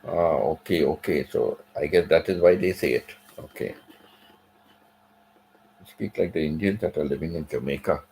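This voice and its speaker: A man's voice: extremely low pitch, normal speed, gravelly, long pauses, warm tone, a little hiss or hum. A middle-aged man hosting a livestream, chatting casually with viewers and repeating his points as he tells a story. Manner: repetitive, conversational storytelling. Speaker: livestream host. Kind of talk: casual livestream chat reading viewer comments.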